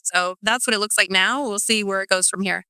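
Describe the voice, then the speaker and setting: A chatty woman in her forties from California tells her story with a bright, very clear voice. She rambles, piling up "um" and "like," and the close recording is dry. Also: medium pitch, brisk, no echo. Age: 40s. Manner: chatty, rambling, many fillers like um and like. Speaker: guest. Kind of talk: casual interview storytelling.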